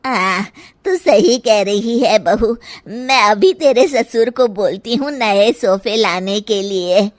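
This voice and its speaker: A woman voicing a fussy, easily alarmed older mother-in-law in an animated story, exclaiming and scolding. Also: medium pitch, slow pace, dry recording. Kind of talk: animated Hindi moral story acting.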